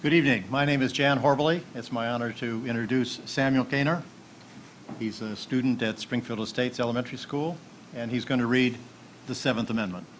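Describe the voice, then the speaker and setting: A man's voice: mid-range voice, fairly even intonation, a little fast, poor audio. A man, making a formal introduction at a live event. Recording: faint background noise.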